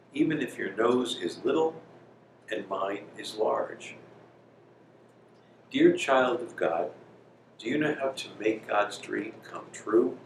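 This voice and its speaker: A man speaking as a priest reading a bedtime story to young children, with gentle, deliberate phrasing. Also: mid-range voice. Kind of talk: slow storybook reading with simple explanations for children. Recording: roomy, distant microphone, clear background noise.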